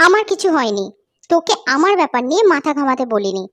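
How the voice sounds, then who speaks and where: A woman's voice: sits high, very animated, fast, a bit bright. An actress playing a young woman doctor in a cartoon horror story, worried, irritable and dramatic. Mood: annoyed. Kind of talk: acted Bengali cartoon horror story dialogue.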